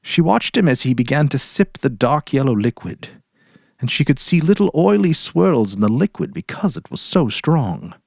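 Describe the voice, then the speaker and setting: A man narrating a literary short story dramatically, in a measured, deliberate way. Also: medium pitch, big pitch swings, creaky, no room sound, clean studio sound.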